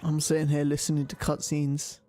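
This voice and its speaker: Sleepy, flat-toned man streaming. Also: fairly high pitch, normal speed. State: sleepy, tired. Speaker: game streamer. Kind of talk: casual livestream commentary.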